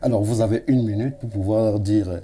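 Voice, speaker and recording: Man hosting a TV talk show, very dark tone, formal and wordy. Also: on the low side, fairly even intonation, slight background noise. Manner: formal, elaborate phrasing with filler pauses. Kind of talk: formal TV studio interview. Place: TV studio set.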